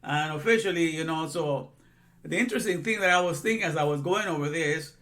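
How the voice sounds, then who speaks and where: A man's voice: a little high, a little fast, a bit bright. A man in his sixties, from Guatemala, giving an informal religious lesson in a rambling way with many asides and repeated phrases. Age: 60s. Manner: rambling, repeats himself, frequent "you know". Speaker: religious teacher.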